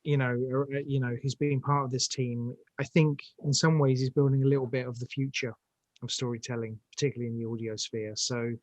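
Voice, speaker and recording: A man's voice: medium pitch, little pitch movement, brisk, a little mellow, dry recording. A British man talking as an interview guest, enthusiastic but searching for his phrases as he goes. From United Kingdom. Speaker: interview guest, audio drama director. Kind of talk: conversational interview.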